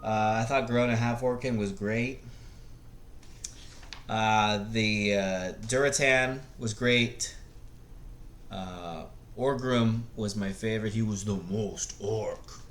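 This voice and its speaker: A man giving casual, unscripted movie commentary as a video host, often halting to search for words. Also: lowish voice, fairly even intonation, a bit bright. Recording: echoey room, faint background noise.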